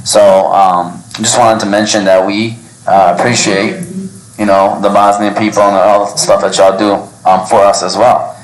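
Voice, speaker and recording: A man's voice: lowish voice, flat delivery, normal speed. A man of Yemeni background hosting a live podcast panel, talking casually with lots of ums and restarts. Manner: rambling, hesitant with many ums. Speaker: podcast host. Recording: echoey restaurant room.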